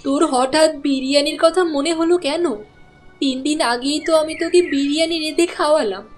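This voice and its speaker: Woman voicing a high-pitched village mother. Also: a little fast, lightly acted. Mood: indulgent. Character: caring village wife and mother. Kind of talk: cartoon dialogue voice acting. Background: background music.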